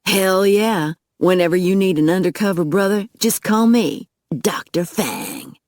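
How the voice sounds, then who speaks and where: A woman's voice: mid-range voice, moderate pace, darkish tone, no echo, studio quality, pitched above the performer's own voice, natural voice. A woman voicing a cocky, easygoing young male undercover vampire with slangy, swaggering talk in an audiobook.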